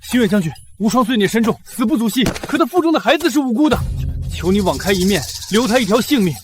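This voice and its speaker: An actor playing a remorseful disgraced general in a costume short drama, solemn and beseeching, with music behind. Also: male voice, sits high, lively intonation, fast talker. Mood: pleading. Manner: earnest, solemn, pleading. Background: dramatic background music.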